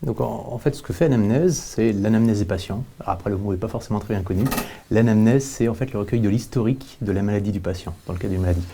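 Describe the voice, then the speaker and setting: A man giving explanatory answers as an interview guest, with halting repetitions and illustrative examples. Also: lowish voice, mellow, a little hiss or hum. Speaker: company representative, panel guest.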